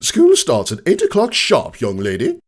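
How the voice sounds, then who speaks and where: A man's voice: fairly high pitch, wildly swooping pitch, moderate pace, breathy edge, voice pushed up, exaggerated voice. A man voicing a stern, contemptuous old female pony schoolteacher with a clipped, scolding, superior manner in a storybook reading.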